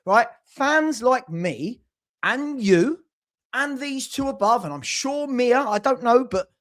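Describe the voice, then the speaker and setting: A man on a football fan livestream, arguing his point in a brisk, reasoned way and checking in with rhetorical tag questions. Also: very high-pitched, lively intonation, fairly bright tone. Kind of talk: casual argumentative chat.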